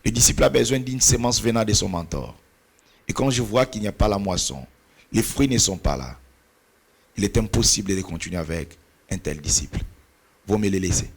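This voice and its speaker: A man's voice: on the low side, brisk, gravelly, pauses often, breathy edge. A middle-aged man whose first language is Portuguese, speaking as a pastor and mentor in an earnest, preaching cadence, sometimes searching for words. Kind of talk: church talk on mentoring.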